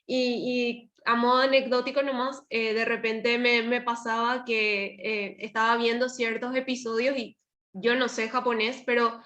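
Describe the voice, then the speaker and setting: A woman's voice: a little high, level tone, measured pace, a bit bright, echoey room, lo-fi sound. A woman with a Paraguayan Spanish accent speaking casually and expressively as a panelist in a discussion.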